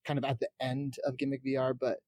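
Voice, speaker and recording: A man's voice: mid-range voice, completely flat delivery, quick pace, darkish tone, no room sound. A man chatting casually about games as a podcast panelist. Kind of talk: casual gaming chat.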